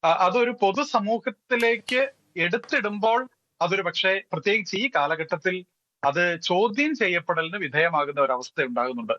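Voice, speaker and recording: A Malayali man speaks on a television debate panel in a forceful, fairly high voice. He explains his points haltingly, dropping in English phrases. The sound is close and dry but somewhat dull. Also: lively intonation, no room sound. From Kerala, India. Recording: dull, lo-fi audio.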